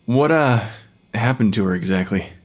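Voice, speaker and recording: An actor playing a nervous young forensic pathologist, hesitant, apologetic and easily flustered, in an audio drama. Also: male voice, lowish voice, lively intonation, normal speed. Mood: awkward, uneasy. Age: young adult.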